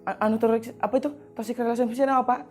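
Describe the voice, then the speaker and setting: A man's voice: extremely high pitch, steady tone, racing, darkish tone. Stumbling, colloquial young man, faint music. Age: 20s. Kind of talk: casual interview answers. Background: faint background music.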